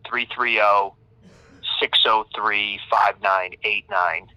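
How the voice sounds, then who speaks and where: A man's voice: lowish voice, fairly even intonation, slow pace, sharp tone, dry recording. A man leaving an informal voicemail as an attorney, stammering and repeating words, recorded over a telephone line. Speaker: public defender attorney.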